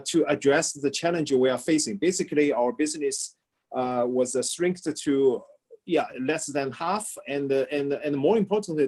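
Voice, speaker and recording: A man's voice: fairly high pitch, darkish tone, breathy edge. A man speaking as a panelist in an online discussion, restarting and repeating words often.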